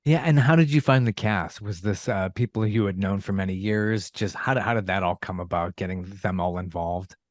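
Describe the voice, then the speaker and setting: Podcast host, man, lowish voice, friendly questioner, dry close sound. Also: brisk, hardly any pauses, no echo. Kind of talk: casual interview chat.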